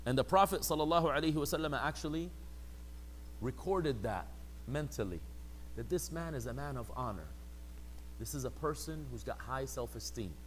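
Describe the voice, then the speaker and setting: A man's voice: mid-range voice, lively intonation, normal speed, long pauses, a little hiss or hum, poor audio. A man giving a conversational religious lecture, sometimes acting out quoted people in a mocking voice. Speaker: religious teacher.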